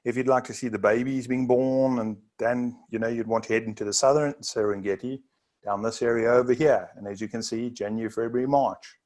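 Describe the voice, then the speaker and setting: A man's voice: mid-range voice, fairly even intonation, fairly quick, a little mellow. A South African man, a Zulu first-language speaker, presenting a webinar as a safari tour operator in a loose, rambling way with frequent asides.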